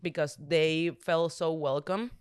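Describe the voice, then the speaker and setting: A woman's voice: sits low, steady tone, unhurried, a little mellow, smooth, dry recording. Venezuelan-accented woman, close and dry. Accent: Venezuelan Spanish. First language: Spanish. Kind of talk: casual chat.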